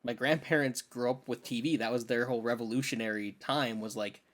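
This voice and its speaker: A man's voice: mid-range voice, fairly even intonation, fairly quick, fairly bright tone. A young man co-hosting a podcast, talking casually and emphatically in slangy, opinionated bursts. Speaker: podcast co-host. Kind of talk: casual film discussion chat.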